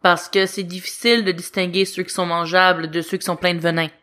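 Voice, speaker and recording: A woman's voice: lowish voice, little pitch movement, fairly quick, pushed and bright. A woman voicing a young boy angel, serious and pragmatic, in a storybook reading. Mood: serious, decisive.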